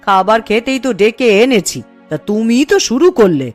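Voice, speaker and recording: A woman's voice: on the high side, expressive, normal speed, close to an everyday voice. A woman playing a scolding, principled village mother in a cartoon story, with a nagging, homely manner. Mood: exasperated. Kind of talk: Bengali cartoon story acting. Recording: music behind the voice. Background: background music.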